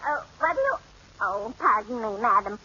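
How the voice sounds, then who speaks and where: An actress playing a deadpan, teasing household maid in a 1940s radio comedy. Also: female voice, on the high side, normal speed, slightly rough, full character voice. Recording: lo-fi old radio recording with loud hiss.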